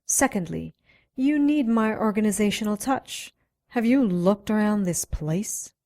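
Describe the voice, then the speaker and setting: Woman playing female scientist-sorceress, medium pitch, confident, teasing, flirtatious, persuasive, radio drama. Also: animated, a little slow, darkish tone. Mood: teasing, assertive. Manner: flirtatious, persuasive, self-assured.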